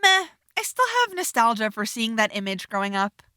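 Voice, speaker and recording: A woman's voice: a little high, very animated, normal speed, sharp tone, clear, resonant tone, no echo, clean studio sound. A woman playing a brash, sarcastic and provocative young witch in an audio drama. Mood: scornful. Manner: sarcastic, taunting.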